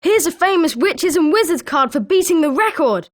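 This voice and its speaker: An eager British schoolboy wizard voiced by a woman for a video game, pitched high. The delivery is chirpy and emphatic, swinging widely in melody, with a friendly competitive edge. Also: animated, moderate pace. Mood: congratulatory. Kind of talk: scripted video game character lines.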